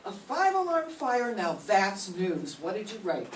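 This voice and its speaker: A woman's voice: mid-range voice, sing-song, unhurried. An older woman playing a dry, skeptical newspaper editor in an amateur radio drama reading. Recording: echoey room, far from mic, faint noise.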